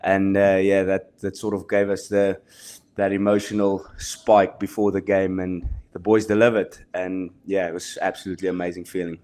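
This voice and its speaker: A man's voice: low voice, flat delivery, moderate pace, darkish tone. Modest young South African man, hesitant. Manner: modest, hesitant, many restarts. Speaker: rugby player guest. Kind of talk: casual podcast chat.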